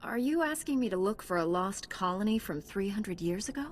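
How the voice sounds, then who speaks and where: A woman's voice: medium pitch, expressive, fairly quick, faint music behind, close to an everyday voice. A woman playing a composed and direct knightly heroine in a video game, with controlled delivery. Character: composed, blunt young female Jedi knight. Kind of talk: game character dialogue.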